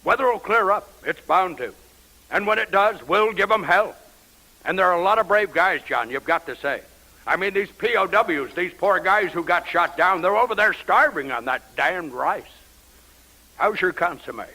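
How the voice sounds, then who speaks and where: A profane, gruff president. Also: male voice, high voice, animated, normal speed, pushed and bright, full character voice, poor audio. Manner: gruff, swearing, blustering. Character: profane, angry male president in the Oval Office. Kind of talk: radio drama acting. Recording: radio play recording.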